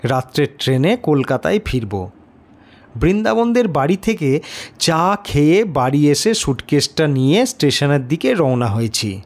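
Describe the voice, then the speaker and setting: Male narrator, fairly high voice, very slow delivery, suspenseful pauses, dramatic scripted storytelling. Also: sing-song.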